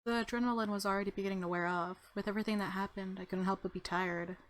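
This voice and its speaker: A woman's voice: mid-range voice, steady tone, fast, a little hiss or hum. A woman narrating a visual novel aloud in the first person, expressively, as a let's player.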